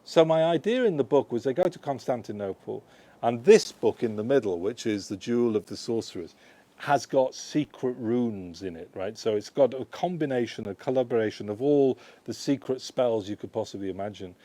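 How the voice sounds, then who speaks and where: A man's voice: mid-range voice, animated, moderate pace, warm tone, no echo. A man, an author, answering audience questions at a book launch in a shop, talking in a rambling, conversational way full of "you know" and "right?". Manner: digressive, self-correcting. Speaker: author presenting his book.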